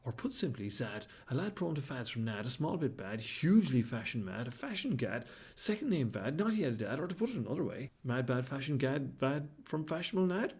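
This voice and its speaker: A man's voice: on the high side, big pitch swings, fairly quick, a little mellow, breathy edge, exaggerated voice. A man voicing a flamboyant, fashion-mad male baboon who talks in pompous, theatrical rhymes. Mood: rapid rhyming. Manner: pompous, sing-song rhyming, fussy and dramatic. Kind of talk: storybook character voice.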